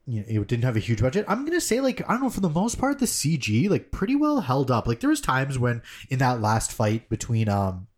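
A man's voice: medium pitch, huge pitch swings, fairly quick, few pauses. A man co-hosting a podcast in casual chat, rambling with lots of fillers and the odd swear. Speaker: podcast co-host.

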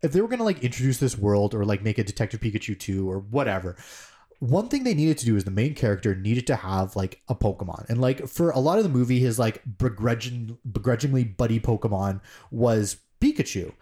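A man's voice: mid-range voice, very animated, a little fast, dark tone. Rambling young male podcast co-host. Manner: rambling, heavy on filler words. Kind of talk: casual chat.

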